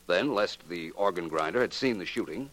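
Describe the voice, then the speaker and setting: An actor with an American accent playing a suave, assured detective in a 1940s radio drama, measured and pointed in delivery. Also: male voice, sits low, lively intonation, a bit bright, poor audio. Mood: assured, accusing. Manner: measured, pointed, coolly deliberate. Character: suave, assured male amateur detective solving a murder. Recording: vintage 1940s radio recording.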